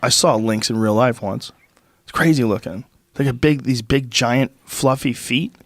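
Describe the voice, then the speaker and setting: A man in his fifties hosting a podcast, chatting casually in a lively, profane way while congested from a cold. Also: medium pitch, normal speed. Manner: animated, profane storytelling. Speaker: podcast host. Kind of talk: casual chat. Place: studio with brick wall. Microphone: studio mic on boom arm, headphones.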